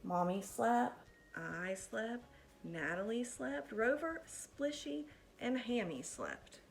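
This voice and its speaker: Slow-paced woman reading to children. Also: fairly high pitch, lively intonation, gravelly. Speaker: teacher reading a picture book aloud to pupils. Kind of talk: expressive children's read-aloud.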